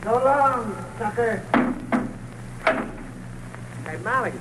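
An actor playing an agitated, slangy prison inmate in 1940s radio style, given to drawn-out calls. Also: male voice, very high-pitched, animated, full character voice. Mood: taunting, sympathetic. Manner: drawn-out yelling and slangy talk. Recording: very noisy old radio recording.

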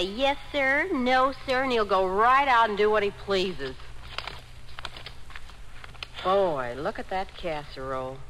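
A woman with an American accent playing a nagging, scornful young wife in an old radio drama, dismissive and sharp-tongued. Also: medium pitch, close to an everyday voice. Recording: faintly noisy old radio broadcast.

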